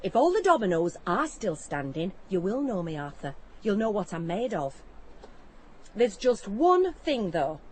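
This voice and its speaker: An actress playing a bold, persuasive neighbour, teasing and assertive, in a radio drama. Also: female voice, medium pitch, very animated, unhurried, a little hiss or hum. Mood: coaxing, teasing.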